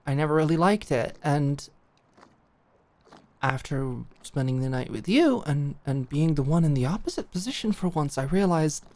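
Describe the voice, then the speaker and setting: A young woman playing a smitten, protective girl at camp in an intimate ASMR roleplay, speaking earnestly and haltingly. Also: deep voice, expressive, dark tone. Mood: earnest, flustered. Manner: halting, earnest, giggly, stammering. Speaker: audio roleplay performer.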